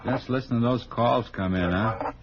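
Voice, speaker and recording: An actor playing a talkative, grumbling city cab driver in a 1950s radio drama, casual and slangy. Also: male voice, medium pitch, moderate pace, natural voice. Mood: offhand, grumbling. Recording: dull, noisy vintage radio recording.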